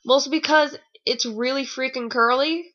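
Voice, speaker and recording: A woman's voice: high-pitched, fairly even intonation, normal speed, a bit bright. A young woman reading fan fiction aloud in a casual, informal way, with rambling, sarcastic commentary. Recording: dull lo-fi home recording.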